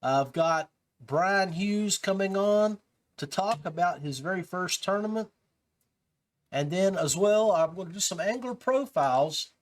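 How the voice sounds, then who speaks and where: A man hosting a podcast in casual show talk, addressing his listeners directly. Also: a little high. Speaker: podcast host.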